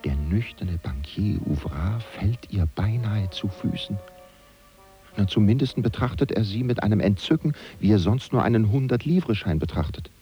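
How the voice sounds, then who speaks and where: A man's voice: on the low side, lively intonation, normal speed, highs cut off, faint music behind, lightly acted. An actor playing a sly servant of a powerful statesman, narrating a German radio play in a wry, confiding way. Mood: sly, hushed. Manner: wry, confiding, hushed at times.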